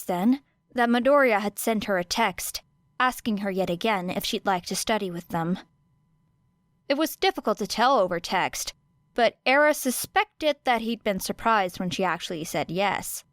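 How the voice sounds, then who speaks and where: A woman's voice: mid-range voice, no room sound. Woman reading a fan-fiction audiobook. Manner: measured, expressive storytelling. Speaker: podfic reader.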